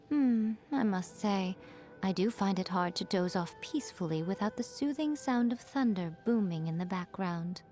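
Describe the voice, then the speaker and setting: A woman voicing a sly, elegant fox shrine maiden for a video game, poised and coy, with a teasing, wryly condescending manner and drawn-out phrasing. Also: mid-range voice, darkish tone, lightly acted. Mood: musing. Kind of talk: scripted video game character voice lines.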